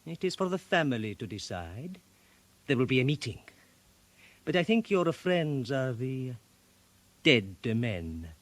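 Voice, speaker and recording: An actor playing a coldly menacing crime family chief, terse and ominous, in a radio drama. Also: male voice, mid-range voice, sing-song, a little slow, long pauses, no room sound. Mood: cold menace.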